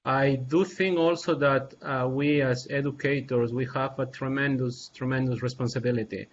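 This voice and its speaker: A man from Peru, speaking as an assistant professor on a video call panel, earnest and halting, with many fillers and restarts. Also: medium pitch, steady tone, a little hiss or hum. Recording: dull lo-fi video call audio.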